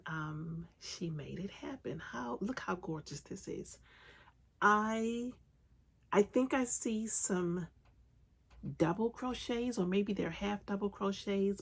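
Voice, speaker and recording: A woman hosting a crafting podcast, chatting casually with lots of enthusiasm and frequent ums, her voice raspy and congested from a recent illness. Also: mid-range voice. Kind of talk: casual solo podcast chat.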